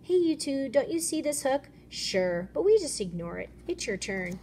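A woman's voice: sits high, very animated, normal speed, mellow, pitched above the performer's own voice. A woman voicing a small, plucky fish in a picture book, exclaiming in short bursts. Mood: carefree. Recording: noisy room ambience.

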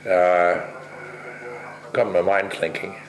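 An older man originally from the Netherlands, being interviewed at home and talking bluntly, with plenty of swearing and pauses. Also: deep voice, level tone, echoey room, rough recording. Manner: blunt, salty, rambling with many pauses. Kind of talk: casual interview. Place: kitchen at home, indoors.

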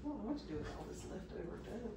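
A middle-aged woman casually talking through a cooking demonstration in her home kitchen, unhurried and unsure of herself in little asides. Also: creaky. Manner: unhurried, self-doubting asides, lively pitch. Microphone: far camera. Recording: echoey kitchen, camera far off, background noise.

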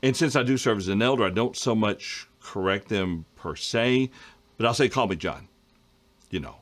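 A middle-aged man hosting a casual Bible study discussion over a video call, reading viewer questions and often clearing his throat. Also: on the low side, moderate pace. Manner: conversational, frequent throat clearing. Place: home study with bookshelves.

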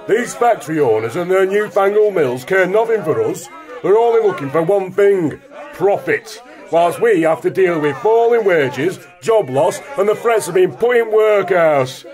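High-pitched, fiery Luddite rabble-rouser. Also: male voice, darkish tone. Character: indignant male Luddite rabble-rouser, fiery working-class orator. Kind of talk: theatrical rally speech.

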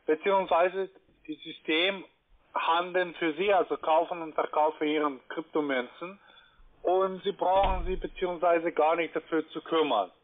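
A man who is not a native German speaker, giving a polite sales pitch on the phone. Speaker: call-centre caller pitching cryptocurrency. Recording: tinny narrowband phone line.

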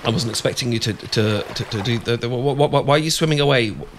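A man's voice: mid-range voice, fast talker, close to an everyday voice. A man with a British accent playing a shy, adorkable, flustered young man in love, stammering and hesitant in an ASMR boyfriend roleplay. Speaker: audio roleplay performer. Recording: clear background ambience noise.

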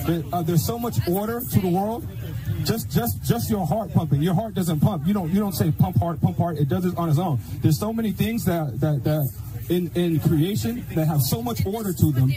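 A man preaching outdoors in a high, pressing voice, stumbling and repeating words as he argues. Loud music plays over the recording. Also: moderate pace, poor audio. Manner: insistent, stammers and repeats words. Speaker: street preacher. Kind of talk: street preaching debate. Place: outdoors on a street. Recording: clip with music playing over it.

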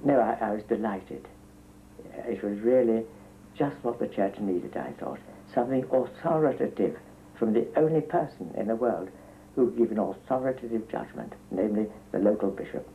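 A man's voice: on the low side, animated, normal speed. An older man, a priest, recalling events earnestly in an interview, with hesitant fillers. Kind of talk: interview recollection. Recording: phone-like band, faint hiss.

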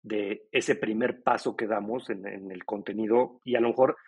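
Man, middle-aged, low husky voice, fluent explanatory interview talk. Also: steady tone, moderate pace, muffled recording. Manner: explanatory, fluent, many tag questions. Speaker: podcast company co-founder, guest.

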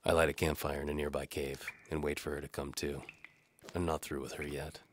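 A man narrating a first-person noir-style audio drama in a wry, deadpan manner. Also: rumbling low, a little fast, slight background noise.

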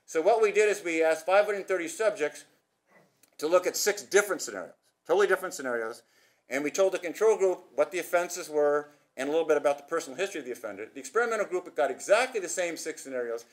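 Brisk, fast-talking male academic speaker. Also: fairly high pitch, lively intonation. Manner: brisk, gesturing, asks rhetorical questions. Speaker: conference speaker. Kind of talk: academic presentation. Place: conference hall, at a podium. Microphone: podium microphone.

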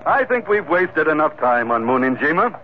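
An American actor playing a loyal, joking first mate in a 1940s radio sea adventure. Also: male voice, on the high side, very animated, normal speed. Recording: muffled, noisy 1940s radio recording.

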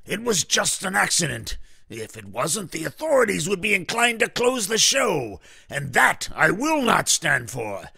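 An actor playing a pompous, dismissive theatre director with a grandiose, emphatic way of talking. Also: male voice, sits high, big pitch swings, measured pace, gravelly, pushed and bright, breathy edge, no echo, clean studio sound, heavily acted. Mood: indignant.

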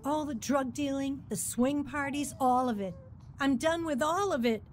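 A woman's voice: high voice, moderate pace, slight background noise, natural voice. An actress narrating and playing a troubled young mother in a radio drama, close to tears and emotional. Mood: upset. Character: troubled young mother leaving her husband. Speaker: narrator and lead character.

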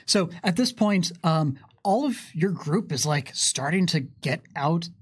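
A man's voice: on the high side, animated, measured pace, husky. A man in his thirties casually narrating a tabletop game demonstration as game master, explaining as he tells the story. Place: indoor studio with curtain backdrop. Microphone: microphone on desk stand.

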